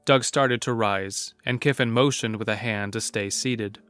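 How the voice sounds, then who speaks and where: A man's voice: on the low side, steady tone, measured pace, a bit bright. A man narrating a scripted horror story. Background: music.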